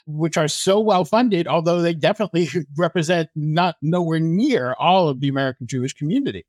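A man's voice: on the high side, no echo. A man, a writer and analyst, speaking earnestly and emphatically as an interview guest. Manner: earnest, emphatic, occasional restarts. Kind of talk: interview, opinionated commentary.

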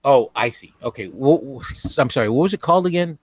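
A man's voice: mid-range voice, lively intonation, quick pace, slightly rough, dry recording, studio quality. A man co-hosting a comedy podcast, talking in a rambling way with many stammered restarts. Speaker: podcast co-host and episode writer. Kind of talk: casual comedy podcast chat.

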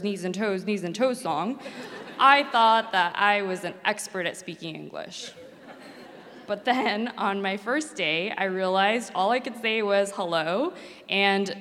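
A woman's voice: mid-range voice, fairly bright tone. A woman from Mexico, a native Spanish speaker, earnestly telling a personal story as a former student. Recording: long hall reverb.

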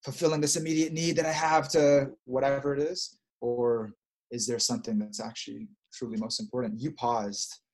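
Husky-voiced man leading a gentle prayer. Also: mid-range voice, level tone, moderate pace. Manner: gentle, reflective, repeats phrases. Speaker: prayer leader. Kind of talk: spiritual chat and prayer.

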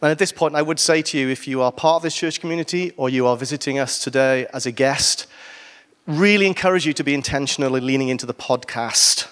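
A Welsh man preaching to his church. His voice sits at an ordinary middle pitch. He delivers the sermon casually, breaking off for jokes and asides. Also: quick pace, a bit bright. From Wales. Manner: conversational with asides and humor.

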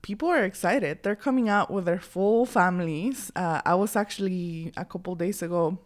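A woman's voice: fairly low pitch, normal speed. A woman co-hosting a podcast, talking earnestly and upbeat about what she saw reporting. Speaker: podcast co-host and field reporter. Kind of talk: casual podcast chat.

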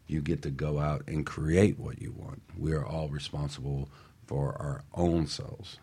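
A man with an extremely low voice that is rough and husky. He talks casually and motivationally as a radio show co-host, leaning on short, emphatic repeated phrases. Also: level tone, a little slow, dark tone.

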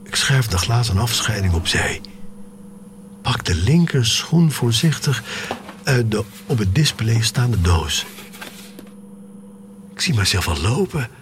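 An actor playing a sneaker-obsessed, wistful man of the future, telling his story in a deliberate, dramatic radio-drama monologue. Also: mid-range voice, very animated, background noise. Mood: dreamy.

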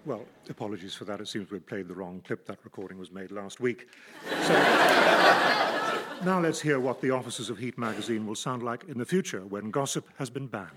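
A formal male announcer with a low, grave voice reading mock news links. A clear hiss runs under his words. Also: animated, moderate pace. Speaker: newsreader announcer.

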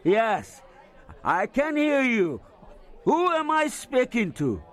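A man playing an excitable, kindly village man in an audio drama, speaking emphatically. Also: extremely high pitch, takes it slowly, a faint crowd, heavily acted. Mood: straining to hear.

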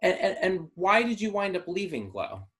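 Lively-voiced male interviewer. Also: high voice, normal speed. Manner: brief questions, laughs along. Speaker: host, interviewer. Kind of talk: casual interview.

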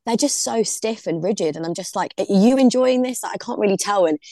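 A woman's voice: mid-range voice, lively intonation, a little fast, dark tone, no room sound, clean studio sound. A young woman, a DJ guest on a podcast, chatting casually and filling her talk with "like". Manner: chatty, heavy use of "like", laughs easily. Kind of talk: casual interview chat.

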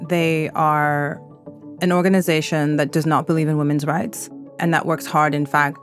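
A woman with a fairly low voice narrates a documentary podcast as its host. Her delivery is measured and serious, with music playing softly underneath. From East Africa. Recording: music bed behind narration.

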